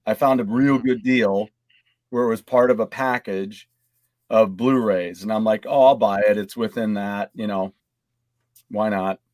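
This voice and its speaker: A man's voice: lowish voice, steady tone, unhurried, a little mellow, dry recording. A man co-hosting a casual gaming panel chat, talking in a rambling, conversational way. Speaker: co-host of a gaming live stream.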